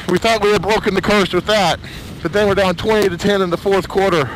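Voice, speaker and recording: A hoarse man chats to his camera in a slightly high voice, rambling and emotional, often stopping and restarting his sentences. A faint hiss of background noise sits under him. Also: fairly bright tone, rough recording. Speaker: motorcycle vlogger. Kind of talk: casual chat to camera.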